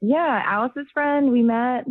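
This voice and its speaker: A woman's voice: on the high side, slow, no room sound. A woman caller talking casually and hesitantly over the telephone, sounding awkward. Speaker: radio show caller. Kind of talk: casual phone conversation. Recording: tinny, dry phone line.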